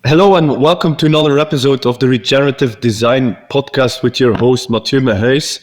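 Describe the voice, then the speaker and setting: A man's voice: medium pitch, brisk, darkish tone. A man hosting a podcast, reading a scripted intro and then asking casual interview questions in an upbeat, friendly way. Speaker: podcast host.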